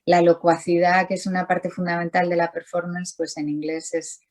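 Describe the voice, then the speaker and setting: Low-voiced woman, rambling answers. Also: little pitch movement, normal speed, darkish tone. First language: Spanish. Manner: rambling, many restarts and fillers. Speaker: artist, interview guest. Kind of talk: casual video-call interview.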